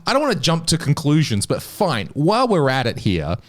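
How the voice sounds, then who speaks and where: Guy hosting a comedy podcast, super animated pitch, jokey n sweary, teasing his mates. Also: brisk. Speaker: podcast host. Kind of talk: casual comedy banter.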